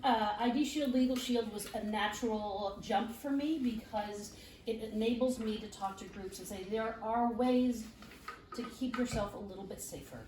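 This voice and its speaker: A woman's voice: on the high side, normal speed, darkish tone. A woman who works as an identity theft specialist, speaking chattily to a live group with many fillers. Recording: roomy, distant mic, clear background noise.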